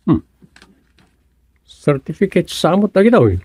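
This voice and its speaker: Village everyman, fairly high male voice, helpful, exasperated, questioning, colloquial, radio comedy, dry studio sound. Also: very animated, unhurried, mellow, slightly rough, no echo, heavily acted. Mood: puzzled. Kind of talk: Ilocano radio comedy drama acting.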